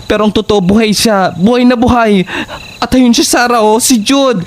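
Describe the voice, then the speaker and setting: A woman playing an insistent, excitable young friend in an audio horror drama, with an urgent, questioning delivery. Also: fairly high pitch, normal speed, a little hiss or hum, natural voice. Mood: urgent, excited.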